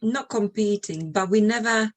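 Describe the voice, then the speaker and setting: A woman's voice: medium pitch, normal speed. A middle-aged woman from Slovakia speaking English with a Slovak accent, chatting as an interview guest about her own life. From Slovakia, Eastern Europe. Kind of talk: casual conversational interview, personal recollections.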